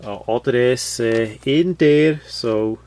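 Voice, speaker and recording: A man giving a casual, unscripted product walkthrough, explaining things haltingly with many uhs and repeated words. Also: medium pitch, darkish tone. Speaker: host of product unboxing videos.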